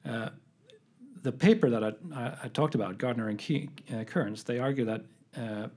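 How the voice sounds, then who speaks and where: Male academic co-host, husky, mid-range, measured. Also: mid-range voice, a little mellow. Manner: measured, explanatory, hesitant fillers. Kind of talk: casual academic chat.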